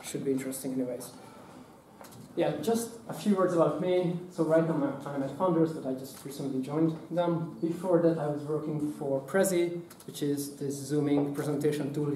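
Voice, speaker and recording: A man, giving a hands-on workshop talk at a conference, speaking haltingly with many fillers and restarts. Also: a little high, warm tone, slight background noise. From Hungary. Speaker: workshop presenter. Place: conference room. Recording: echoey room, mic at a distance.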